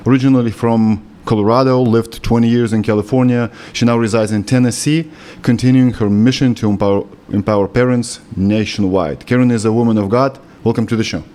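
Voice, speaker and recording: A man with a Lithuanian accent hosts an interview in English, his second language. He talks at an ordinary pitch for a man, picking his words with care and sometimes stopping to rephrase. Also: fairly even intonation, mellow. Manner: careful, slightly halting non-native English. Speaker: host.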